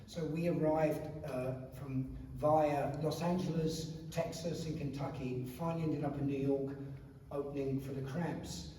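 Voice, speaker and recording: A man in his sixties with an Essex accent and a hoarse, failing voice, telling a cheeky, profane story on stage at a conference. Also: on the high side, steady tone, unhurried, dark tone. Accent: Essex English. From Essex, England. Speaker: guest musician. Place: conference venue hall. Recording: echoey hall, distant mic, noisy room.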